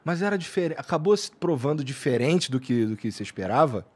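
A man hosting a podcast interview, asking questions in a relaxed, conversational way into a studio mic on a boom arm. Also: fairly quick, breathy edge. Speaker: podcast host.

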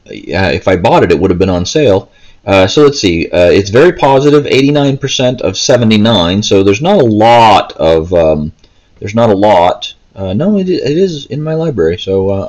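Low-voiced man, casual and rambling. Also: expressive, moderate pace, a little mellow. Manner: hesitant, rambling, often stops mid-thought. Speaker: gaming video host. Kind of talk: casual, unscripted gameplay walkthrough. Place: indoors, seated, handheld console in hands.

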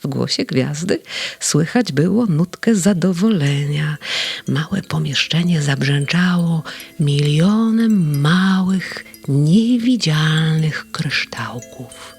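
A woman narrating a children's bedtime story slowly and tenderly. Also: low-pitched, creaky, slightly rough, soft background music. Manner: slow and tender, with lively voice play. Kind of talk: storybook reading.

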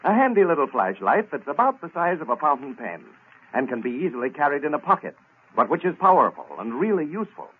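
An American man working as a radio announcer, delivering a sponsor's pitch in 1930s advertising style. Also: sing-song, quick pace, husky. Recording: tinny, band-limited vintage radio recording.

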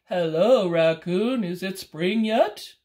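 A sleepy, well-fed bear from a children's story, drawn out slowly and drowsily in a lower, fuller voice, as a woman puts it on. Each word drags, as if the bear can barely stay awake. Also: lively intonation. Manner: slow, drowsy and loud.

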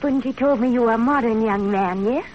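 Woman acting a high, girlish young Japanese lady. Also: fairly quick, natural voice. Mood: polite, curious. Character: spirited, coquettish, modern young Japanese woman. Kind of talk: 1930s radio acting. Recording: muffled, noisy 1930s radio drama recording.

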